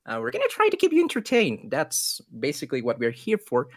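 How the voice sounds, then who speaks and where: A man's voice: a little high, huge pitch swings, brisk, a bit bright, no echo, clean studio sound. A man from Ecuador with a Spanish accent, a native Spanish speaker, casting a livestream in a casual, improvised chatty style. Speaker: esports commentator.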